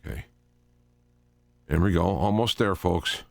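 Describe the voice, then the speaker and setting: A man hosting a talk radio show solo, speaking off the cuff in a halting, rambling way, often repeating and restarting phrases. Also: low-pitched, vocal fry, no echo.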